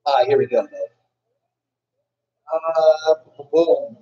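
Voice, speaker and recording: A man hosting a casual wrestling review, rambling and excitable, often restarting his sentences. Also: fairly high pitch, drawn-out words, slight background noise. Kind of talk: casual chat. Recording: dull lo-fi recording.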